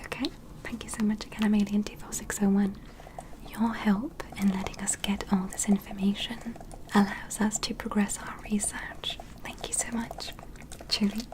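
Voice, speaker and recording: A woman playing a polite, curious alien medical examiner in an ASMR roleplay, speaking in a breathy whisper with a gentle, clinical, questioning manner. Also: medium pitch, little pitch movement, moderate pace, lightly acted, low-quality recording. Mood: grateful, soothing.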